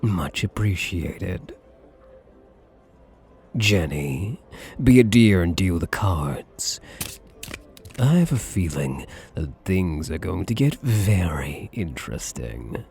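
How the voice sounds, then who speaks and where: A man playing a suave, possessive gambler in an intimate ASMR roleplay, flirtatious and quietly menacing, with a breathy voice and teasing, drawled pet names. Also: low-pitched, very animated, slow pace, pauses often, faint music behind, full character voice. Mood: calm authority.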